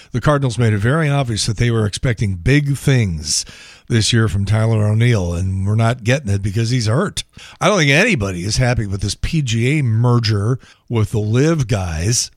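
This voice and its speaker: Podcast host: American male, gravelly and thinning mid-range voice, wry casual monologue, dry close sound. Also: mid-range voice, dry recording. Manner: wry, colloquial, profane. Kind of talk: casual solo monologue on news and sport.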